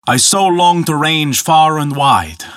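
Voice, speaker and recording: A man's voice: a little high, expressive, slow pace, a bit bright, no room sound, clean studio sound, pitched above the performer's own voice. Odin, a cunning restless god voiced by a man.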